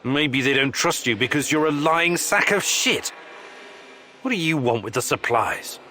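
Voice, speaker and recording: A man's voice: fairly high pitch, expressive, a little slow, bright, forceful tone, a little hiss or hum. An actor playing a tough, blunt, skeptical male protagonist in a video game, confrontational and sardonic. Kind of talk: video game dialogue acting.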